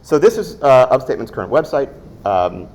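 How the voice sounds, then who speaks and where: A man's voice: mid-range voice, big pitch swings, a little fast, a little mellow. A man giving a design lecture to a room, talking thoughtfully with ums and asides. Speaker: guest speaker, creative director. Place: lecture room without a microphone.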